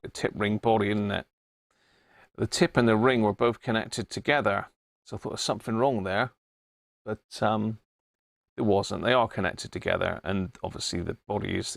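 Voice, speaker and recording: A man's voice: on the low side, creaky, no room sound, clean studio sound. A middle-aged man with an English accent chatting informally on a live stream, rambling and thinking aloud as he demonstrates things. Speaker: live stream host of a radio hobby channel. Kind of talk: casual live chat with demonstration. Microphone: wireless body-pack microphone.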